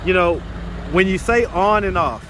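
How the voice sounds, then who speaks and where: A man's voice: sits high, animated, normal speed. A man hosting a street interview, asking direct, probing questions in casual slang. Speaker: street interviewer and channel host. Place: outdoors on a city street. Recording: street traffic noise outdoors.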